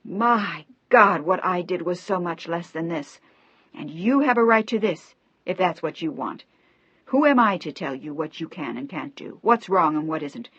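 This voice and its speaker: A woman's voice: fairly low pitch, moderate pace, no echo. A woman playing Rafaela, a raging betrayed daughter. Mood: furious. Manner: shouting, sobbing accusations. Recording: dry, slightly dull recording.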